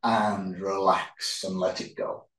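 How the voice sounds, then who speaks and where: Male yoga instructor, calm guided relaxation, very slow delivery with pauses, distant and reverberant room sound. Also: low voice. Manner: calm, unhurried, short phrases with pauses. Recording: roomy echo, distant microphone.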